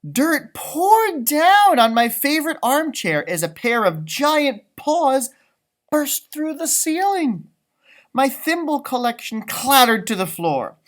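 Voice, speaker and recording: A man's voice: extremely high pitch, big pitch swings, slow, pitched above the performer's own voice, heavily acted. A man voicing a small, grumpy, boastful old leprechaun telling his own story in a storybook read-aloud. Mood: alarmed. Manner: feisty, boastful, theatrical storytelling.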